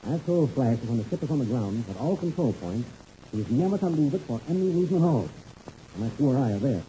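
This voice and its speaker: An actor playing a confident, shrewd mentor pilot in a 1930s radio adventure serial, brisk and colloquial, on a noisy old recording. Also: male voice, mid-range voice, very animated, dark tone, natural voice. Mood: puzzled, wary.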